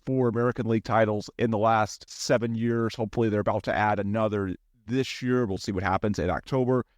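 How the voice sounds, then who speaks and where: A man's voice: fairly low pitch, no room sound, clean studio sound. A man hosting a sports podcast, asking long, winding questions full of asides. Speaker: podcast host.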